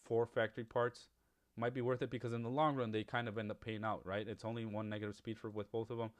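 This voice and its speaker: A man's voice: lowish voice, level tone, quick pace. A man whose first language is Spanish, talking casually over a mobile game, rambling with many fillers as he compares weapon upgrades. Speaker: gaming YouTuber.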